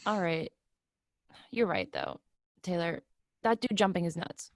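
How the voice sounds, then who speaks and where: A woman's voice: on the low side, sing-song, unhurried, gravelly, dry recording, clean studio sound, heavily acted. A woman reading the part of a brooding, introspective young tenant in a play reading. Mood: uneasy. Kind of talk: readers theatre play reading.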